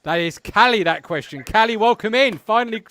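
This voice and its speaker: A man hosting a fan football chat show, asking energetic questions. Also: high voice, a little fast, crowd noise. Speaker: host.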